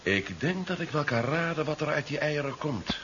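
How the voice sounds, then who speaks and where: An actor playing a level-headed adventure hero in a 1970s science fiction radio play. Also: male voice, on the high side, expressive, normal speed, fairly bright tone. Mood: guarded. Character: level-headed male hero who has faced sea monsters. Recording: dull, noisy 1970s radio recording.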